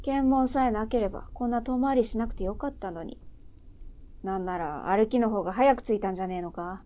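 A woman playing a brash, wry 1920s driver in a radio drama, muttering to herself in rough, tomboyish speech. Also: medium pitch, a little fast, mellow, lightly acted. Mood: irritated, cocky. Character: brash, wry driver dodging military police in 1920s Japan.

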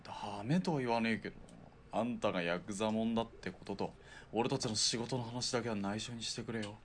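A man acting in a radio drama as a wry, rough-spoken outlaw who works as a sniper's spotter. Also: lowish voice, brisk, a little hiss or hum, close to an everyday voice. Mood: casual, grumbling. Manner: gruff casual speech, dry retorts.

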